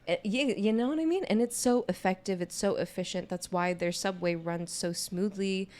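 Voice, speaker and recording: A young adult woman with a naturally low voice chats casually on a podcast, speaking in a candid, informal way peppered with "you know". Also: moderate pace, darkish tone. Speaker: podcast co-host. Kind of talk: casual conversational podcast chat.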